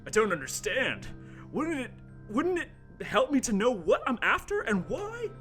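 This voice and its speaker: A man's voice: very high voice, wildly swooping pitch, normal speed, fairly bright tone, faint music behind, pitched above the performer's own voice, full character voice. A man acting a nervous, bewildered young male intern in an amateur radio play, melodramatic and questioning. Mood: nervous, incredulous.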